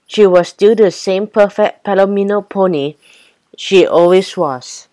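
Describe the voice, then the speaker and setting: A woman's voice: mid-range voice, a little slow, dark tone, dry recording. A woman narrating a children's storybook from a script.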